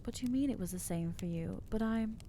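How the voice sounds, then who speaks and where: A woman's voice: medium pitch, fairly quick, mellow, a little hiss or hum, lightly acted. A woman voicing an anxious, self-loathing young female vampire in an ASMR audio roleplay, talking hesitantly and putting herself down. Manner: hesitant, self-deprecating, trailing off.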